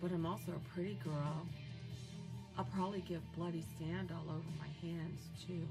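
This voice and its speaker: A woman's voice: fairly low pitch, fairly even intonation, moderate pace, gravelly, over loud music. An actress playing a gleefully unhinged young female killer in an audio horror drama, chatty, taunting and mocking. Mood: smug, musing. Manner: taunting, chatty, foul-mouthed, swinging between glee and menace.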